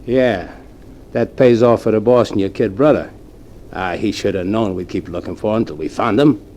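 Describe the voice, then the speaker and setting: A man's voice: on the low side, normal speed, darkish tone, natural voice. An actor playing a gangster's henchman in a 1940s radio crime drama. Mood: musing. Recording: old radio broadcast, faint noise.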